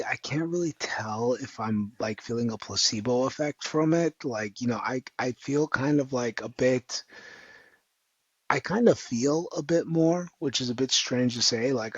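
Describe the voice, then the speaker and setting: A man's voice: medium pitch, vocal fry, a bit bright, breathy edge, dry recording, lo-fi sound. A man hosting a podcast, talking casually and hesitantly as he searches for his words. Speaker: podcast host. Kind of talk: casual interview chat.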